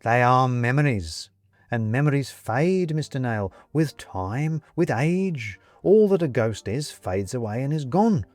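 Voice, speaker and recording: Man putting on a hearty old-school British gentleman ghost. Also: medium pitch, animated, unhurried, dry recording, natural voice. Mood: solemn, lecturing. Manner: clipped old-fashioned chummy upper-class phrasing. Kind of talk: audiobook character acting.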